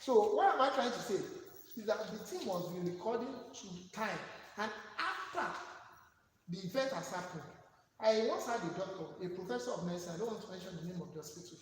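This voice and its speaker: A man with a Nigerian accent and a high-pitched voice teaches a class in a didactic way, repeating his key points and putting questions to his listeners. He is recorded far from the microphone in a very reverberant room. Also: lively intonation, brisk. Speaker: CCTV installation instructor. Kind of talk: classroom lecture with real-life examples. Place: bare indoor classroom with table and window. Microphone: camera or phone standing a few metres away. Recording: echoey, noisy room, far from mic.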